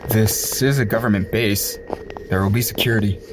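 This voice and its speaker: A man's voice: fairly low pitch, steady tone, normal speed, background noise, lightly acted. An actor playing a nervous young man who tags along with his friend, teasing him and worrying about getting caught. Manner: teasing, laughs easily, worried. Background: music.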